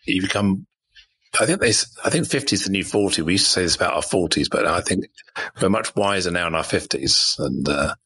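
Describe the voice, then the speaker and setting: A man's voice: low voice, lively intonation, fairly quick, bright, forceful tone, husky. A man in his fifties interviewing a guest, affirming her points and asking tag questions. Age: 50s. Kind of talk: conversational interview.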